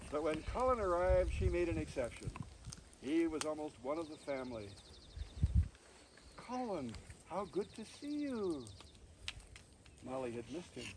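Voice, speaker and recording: Guy reading his story out loud outside, really animated, far from the mic w/ lots of noise. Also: fairly high pitch. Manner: chatty asides, expressive storytelling. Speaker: author reading from own book. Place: outdoors on a mountain. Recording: distant mic, noisy outdoor recording.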